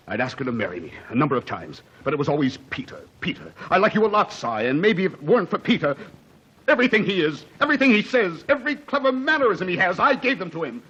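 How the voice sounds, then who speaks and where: A man's voice: fairly high pitch, fairly bright tone, slightly rough, heavily acted. An actor playing a desperate male theater producer accused of murder, stammering as he breaks down in an old radio drama. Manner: stammering, cracking under accusation. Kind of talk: 1940s radio acting. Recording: dull old radio broadcast.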